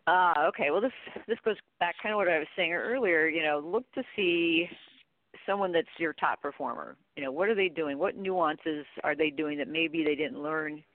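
A woman's voice: low voice, moderate pace, no echo. A middle-aged woman giving conversational advice as an expert guest in an interview. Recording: dry, thin phone line.

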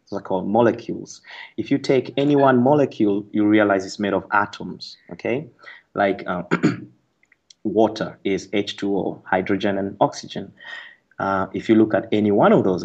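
A man's voice: on the low side, muffled recording. A man from Kenya, an author, explaining his ideas as an interview guest in a thoughtful way with fillers and restarts. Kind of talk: radio interview conversation.

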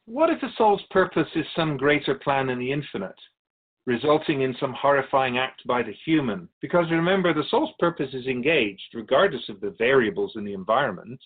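A man playing a patient, wise, otherworldly Keeper of Souls who teaches in a measured, philosophical way in a radio drama. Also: medium pitch, lively intonation, phone-quality sound, close to an everyday voice. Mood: patient, instructive. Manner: measured, philosophical, gently instructive. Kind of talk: scripted radio drama dialogue.

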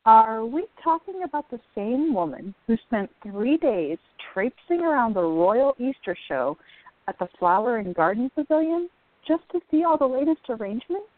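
A woman's voice: fairly high pitch, big pitch swings, normal speed, no echo. An actress playing a supportive, teasing young woman in a radio play, on a thin recording. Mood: teasing, encouraging. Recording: thin, phone-like audio.

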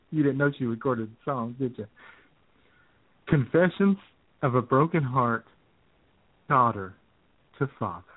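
A thin, phone-like recording carries a man's husky voice at an ordinary male pitch. He co-hosts an internet radio show, chatting casually and joking along. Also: animated, a little slow, long pauses. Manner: jokey, chuckles often. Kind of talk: casual comedic banter.

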